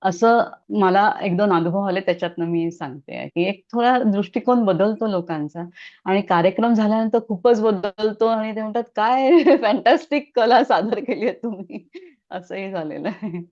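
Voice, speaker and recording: A middle-aged woman from Maharashtra talking as an interview guest, telling anecdotes conversationally in an animated manner. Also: mid-range voice, moderate pace, highs cut off.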